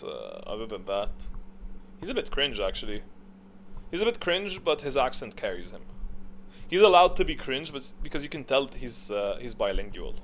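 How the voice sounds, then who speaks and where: A man delivers casual, opinionated commentary as a video creator, thinking aloud as he goes. His pitch moves around a lot, from low asides to lively peaks. There is some steady noise behind the voice.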